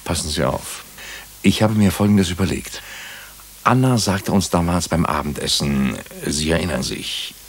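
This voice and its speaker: Gravelly, very low-voiced wry doctor turned sleuth. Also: male voice, moderate pace. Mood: intent, persuasive. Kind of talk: German radio drama acting with first-person narration.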